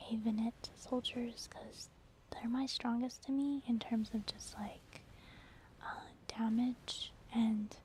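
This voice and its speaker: A woman doing soft, whispered ASMR commentary over gameplay, chatting informally to her viewers. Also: on the high side, a little hiss or hum, rough recording. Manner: informal, conversational, addressing viewers. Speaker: ASMR gaming creator.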